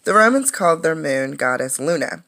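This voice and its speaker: A woman's voice: low-pitched, animated, a bit bright. A woman reading a children's science book aloud like a teacher, explaining things as she goes. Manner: explanatory, pausing to comment and ask questions.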